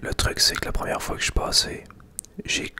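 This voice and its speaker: A man with a Québécois French accent whispering casual, unhurried ASMR commentary as he plays a video game. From Quebec. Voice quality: breathy, unvoiced whisper. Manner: unhurried, hushed, casual narration of play.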